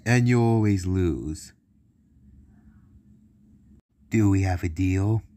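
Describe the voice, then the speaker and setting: A man's voice: low-pitched, measured pace, warm tone. A man voicing a big, bossy, short-tempered cartoon villain boss who blusters and repeats himself. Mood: sly. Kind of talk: cartoon villain character lines.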